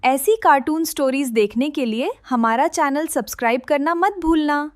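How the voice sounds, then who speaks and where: A woman's voice: sits high, moderate pace, music in the background. A woman delivering a scripted promo as a channel announcer.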